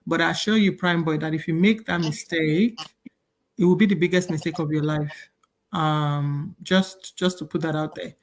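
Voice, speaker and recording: A woman's voice: deep voice, steady tone, moderate pace. A woman hosting an online panel discussion over a video call, talking earnestly with many fillers. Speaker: host.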